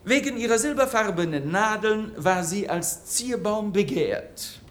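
A woman's voice: mid-range voice, expressive, measured pace, close to an everyday voice. A woman playing a factual narrator who recites botanical history like a scripted lecture in a radio play.

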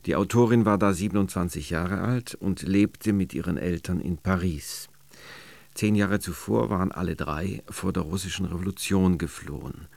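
A man with a low, creaky voice presents a programme as a radio announcer. He reads from a script in a dry, close studio sound. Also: moderate pace, a little mellow, no room sound.

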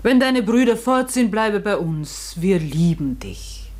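A caring woman in a radio drama, gently consoling someone. Her delivery is slow and measured, yet the pitch moves expressively up and down. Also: expressive. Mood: tender, sad. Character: tender, concerned human woman consoling a friend. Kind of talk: German radio play acting.

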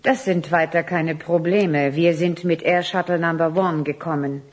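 A woman's voice: sits low, steady tone, normal speed. Transhuman sovereign, female, for radio play: formal, literal, emotionless, cool authority. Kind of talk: radio drama acting.

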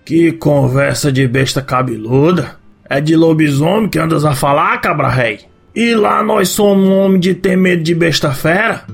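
Man doing a gravelly, hot-headed backlands bandit. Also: a little high, moderate pace, soft background music, voice pushed up. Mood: scornful bravado. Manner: brash, blustering. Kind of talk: audio drama character acting.

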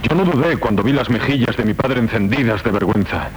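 An actor playing an honour-bound young knight and tormented lover, solemn and fervent in a 1970s Spanish radio drama. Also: male voice, medium pitch, lively intonation, fast, close to an everyday voice. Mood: fervent urging. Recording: noisy 1970s radio tape.

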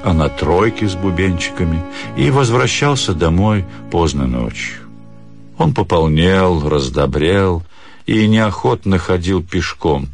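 Low-voiced man narrating literary fiction. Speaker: actor reading a short story. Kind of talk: dramatic literary narration for radio.

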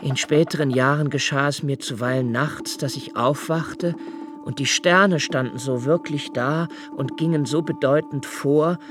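A man's voice: fairly high pitch, unhurried, lightly acted. An actor playing a lonely young male poet, narrating a radio play with an earnest, intense reading over faint music. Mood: reflective.

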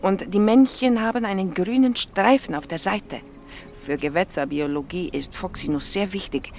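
An actress playing a blunt, playful young Slovak doctoral student with a Slovak accent in an audio drama. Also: female voice, mid-range voice, moderate pace, darkish tone, soft background music, lightly acted. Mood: eager. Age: young adult. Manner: blunt, teasing, quirky non-native phrasing.

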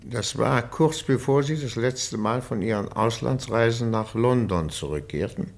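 Creaky, composed questioner. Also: male voice, medium pitch, unhurried. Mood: composed, probing. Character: composed, probing male questioner. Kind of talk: radio play acting.

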